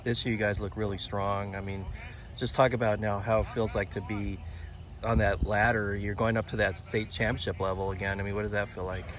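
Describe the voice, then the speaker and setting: Deepish guy reporter asking questions casually, noisy outdoor recording. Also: level tone, brisk, a bit bright. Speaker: interviewer, sports reporter. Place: outdoors by a sports field.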